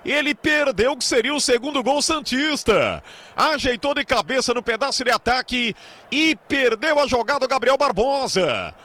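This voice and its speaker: A man doing excitable live radio football commentary, stretching out key words. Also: very high-pitched, moderate pace. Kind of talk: live match commentary with sponsor reads.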